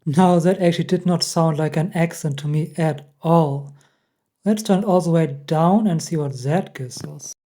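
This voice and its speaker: A young man with a German accent, hosting a casual software tutorial and chuckling at the results. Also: fairly high pitch, normal speed. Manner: chatty, laughs often at results. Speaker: tech tutorial host. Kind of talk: casual screen-recorded tutorial.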